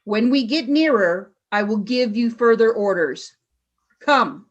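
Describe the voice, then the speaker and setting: Woman playing stern, high-voiced alien warrior leader. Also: expressive, unhurried, highs cut off. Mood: cold, commanding. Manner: terse, stern, commanding. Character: cold, ruthless female alien warrior leader. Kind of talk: sci-fi radio play acting.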